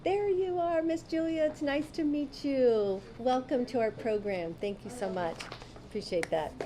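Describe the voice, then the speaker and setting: A woman's voice: a little high, mellow, a little hiss or hum. A woman emceeing a student awards breakfast, graciously thanking volunteers and guests one by one. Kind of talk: ceremony announcements.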